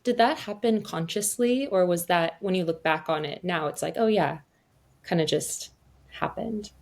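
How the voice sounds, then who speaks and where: A woman's voice: lowish voice, normal speed. A woman co-hosting an interview, asking her guest a follow-up question in a casual conversation. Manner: attentive, frequent soft back-channel murmurs. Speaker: co-host, interviewer.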